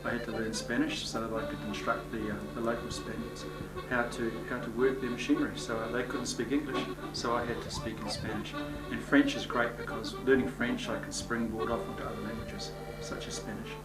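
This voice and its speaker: A man's voice: medium pitch, flat delivery, brisk, few pauses. A man teacher speaking hesitantly with uhs and repeats. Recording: distant echoey mic, loud music.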